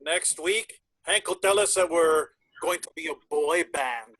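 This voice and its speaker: A man's voice: a little high, measured pace, fairly bright tone, no echo. A man acting a sarcastic, teasing country band musician with a mocking manner. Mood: incredulous, mocking.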